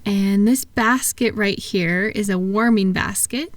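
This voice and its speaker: A woman playing a kind, unhurried attendant at a calming clinic, soft-spoken and reassuring in a relaxation roleplay. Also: mid-range voice, dry recording, natural voice. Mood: gently hospitable.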